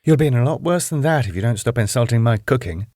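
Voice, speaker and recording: A man's voice: mid-range voice, animated, fast, dark tone, no echo, studio quality, close to an everyday voice. A man voicing a cheerful, domineering middle-aged wife in a raised voice. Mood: breezy. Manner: sweet but domineering.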